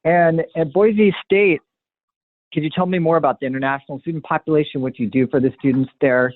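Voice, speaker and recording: A man's voice: on the high side, fairly even intonation, fairly quick, no echo. A man hosting an interview in a conversational style. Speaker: interviewer, host. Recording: narrow phone-like line, dry.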